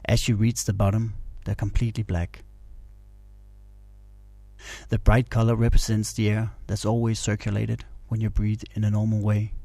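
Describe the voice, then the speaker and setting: Male meditation narrator: low, even-toned, calm and instructional delivery. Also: moderate pace, gravelly, no room sound. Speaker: meditation guide.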